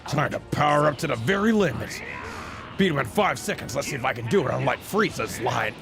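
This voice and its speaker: A man's voice: mid-range voice, animated, fairly quick, gravelly, over music. A man voicing a cocky, battle-hungry martial-arts hero in a fan dub over a fighting game, with brash taunts. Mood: cocky, fired up.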